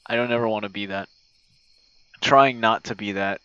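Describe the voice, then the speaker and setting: Casual male talker, unhurried and informal, bright edgy tone, close dry sound with crickets chirping behind. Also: lowish voice, fairly even intonation, clear, resonant tone, no room sound. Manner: informal, swearing, slangy. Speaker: audio roleplay creator. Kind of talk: casual rambling monologue. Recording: dull lo-fi recording. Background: chirping crickets, night sounds.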